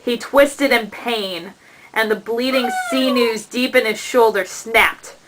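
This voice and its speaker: A woman giving a measured, dramatic narration of an epic poem as the storyteller of a puppet show. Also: on the high side, background noise.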